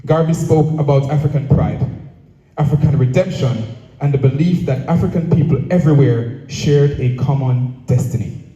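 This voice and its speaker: A man with a Jamaican accent delivering a prepared public speech in a measured, rhetorical way, with deliberate phrasing and repetition. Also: medium pitch, dark tone, highs cut off. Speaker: association president giving a speech. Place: speaking at a live event, room echo. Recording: echoey venue, distant microphone.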